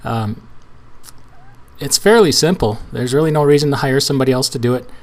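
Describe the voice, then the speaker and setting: Man, hoarse today, casual trucking-channel host; loose, restart-heavy tutorial delivery. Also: mid-range voice, fairly quick. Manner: casual, rambling with restarts and fillers. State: hoarse, weak voice. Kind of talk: screen-share tutorial walkthrough.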